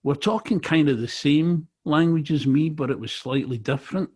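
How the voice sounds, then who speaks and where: A man's voice: a little high, moderate pace, darkish tone, husky. A middle-aged man from Glasgow with a Glaswegian Scottish accent, a native Scots speaker, chatting casually as a podcast interviewer. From Glasgow, Scotland.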